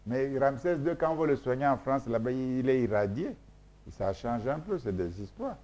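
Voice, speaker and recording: A man from Congo whose first language is Mboshi speaks as a scholar being interviewed. His voice is dark and mellow, at a middle pitch. He lectures with emphasis and drives each point home through repetition. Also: animated, moderate pace. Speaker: scholar guest. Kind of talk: interview answers.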